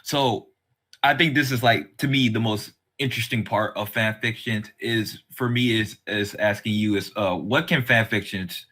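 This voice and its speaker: A man with a slightly low, bright and forward voice hosts a relaxed podcast conversation. He rambles a little, circling his thoughts with frequent small filler phrases. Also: normal speed. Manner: hesitant, rambling phrasing with many "like" and "you know". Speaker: podcast host. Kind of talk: casual podcast interview.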